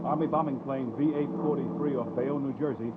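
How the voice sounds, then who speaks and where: A man's voice: mid-range voice, fairly even intonation, brisk. A man playing a tense army bomber pilot in a radio drama, reporting in terse, clipped phrases over aircraft radio. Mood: controlled tension. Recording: very noisy, tinny military radio.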